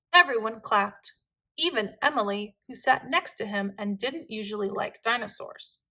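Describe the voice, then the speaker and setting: A woman reading a children's storybook aloud in an expressive, deliberate, dramatic way. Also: on the high side. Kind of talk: expressive scripted read-aloud narration for children.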